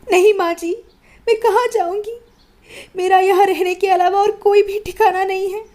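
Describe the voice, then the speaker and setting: A woman voicing a meek, long-suffering young village woman who pleads softly. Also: extremely high pitch, fairly even intonation, moderate pace. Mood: pleading. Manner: pleading, soft and submissive.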